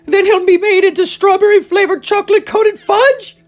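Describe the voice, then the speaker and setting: A shrill, frantic storybook mother. Her voice is extremely high and piercing, and she screams and scolds with indignation over faint music. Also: steady tone, a little fast. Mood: indignant. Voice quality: piercing shriek.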